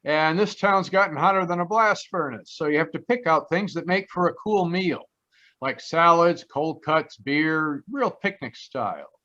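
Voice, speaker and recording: Man playing bright, wisecracking American private eye. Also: a little high, normal speed. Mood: grumbling, wry. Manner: slangy, grumbling, comic hardboiled patter. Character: wry, wisecracking New York private detective, narrating. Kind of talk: old-time radio detective narration. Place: performed from home on a video call. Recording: slightly dull video-call sound.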